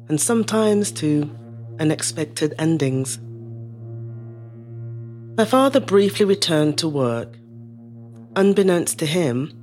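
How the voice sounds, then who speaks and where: An actress playing a reflective middle-aged woman born in Zimbabwe, telling her family's story in a measured, lyrical scripted monologue. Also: low-pitched, a little mellow. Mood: solemn. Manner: measured, lyrical, deliberate phrasing. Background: music.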